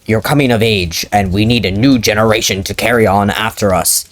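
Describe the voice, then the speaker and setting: Stoic middle-aged Viking chief father, gruff and stern, low voice, as a man puts him on. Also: brisk, lightly acted. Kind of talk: dramatic reading of fanfiction.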